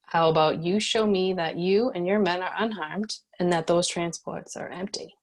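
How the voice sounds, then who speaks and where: A woman acting as a tough female ex-cop who leads her people in a screen drama. Her voice is fairly low, and she speaks in a guarded, modest, matter-of-fact way. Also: moderate pace, close to an everyday voice. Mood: wary. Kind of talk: screenplay table read, dramatic dialogue.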